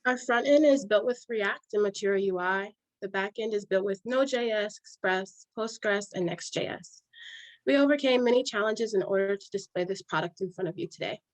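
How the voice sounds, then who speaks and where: A young woman from New York steadily reading her part of a software project presentation. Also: medium pitch, normal speed, no room sound, low-quality recording. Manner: steady, reads from a prepared script. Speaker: student presenter, scrum master.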